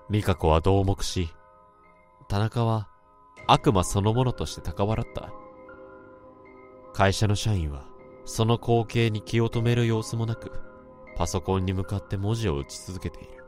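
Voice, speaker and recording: A troubled office worker narrates in the first person, low-voiced, brooding and anxious. Faint music plays under his voice in this radio drama. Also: a little mellow. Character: troubled young male office worker, introspective. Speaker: narrator and lead.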